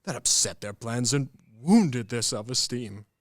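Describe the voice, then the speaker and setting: An actor playing a grandiose, romantic young cavalry officer with wounded pride in a radio play, theatrical and self-important. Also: male voice, medium pitch, lively intonation, unhurried, no room sound, clean studio sound, close to an everyday voice. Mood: proudly aggrieved.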